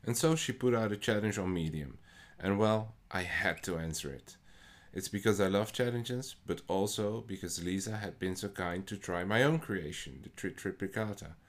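A man with a Dutch accent, hosting a poetry podcast in a measured, scripted way. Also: low voice. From Netherlands. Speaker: podcast host. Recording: faint music behind the voice.

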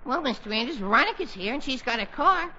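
A man's voice: extremely high pitch, lively intonation, fast, bright, forceful tone, a little hiss or hum, heavily acted. A young actor playing a goofy, mock-earnest teenage boy in a muffled 1940s radio comedy.

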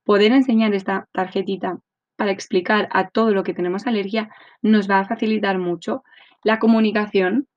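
A woman's voice: medium pitch, fairly even intonation, fairly quick. Chatty woman with muffled sound. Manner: chatty and explanatory, many fillers and tag questions. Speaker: podcast host. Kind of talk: informal podcast monologue. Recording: dull lo-fi sound.

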